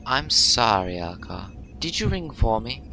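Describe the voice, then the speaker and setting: An eccentric feline alien petty officer played by a man in an audio drama, with a bright, sharp voice that swings widely in pitch. He is aloof and insolent, choosing his words with formal, wry precision. Faint noise sits behind him. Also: very animated, unhurried, vocal fry, exaggerated voice. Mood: politely puzzled. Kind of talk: fan audio drama acting.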